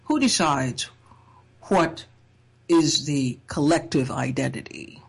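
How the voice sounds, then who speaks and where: A woman from Trinidad speaks slowly and thoughtfully, reflecting on her own life. Her voice is a little husky, and she lets in a dry, wry humor now and then. She takes her time over each phrase. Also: sits low, animated. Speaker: speaker sharing a personal essay. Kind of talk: reflective personal talk.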